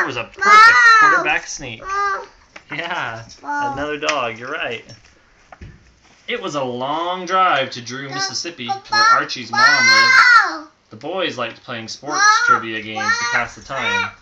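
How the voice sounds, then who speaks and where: Dad reading a storybook, lively pitch. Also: male voice, highs cut off. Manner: pauses to answer a small child pointing at pictures. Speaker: father reading a bedtime story. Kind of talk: reading a picture book aloud to a child. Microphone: phone. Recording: noisy home phone recording.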